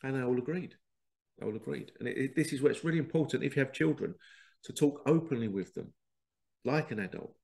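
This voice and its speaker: A man's voice: medium pitch, quick pace, creaky, warm tone. A man with a head cold and a congested voice, hosting a rambling spiritual talk and often acting out quoted exchanges. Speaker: podcast host. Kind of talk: casual spiritual monologue.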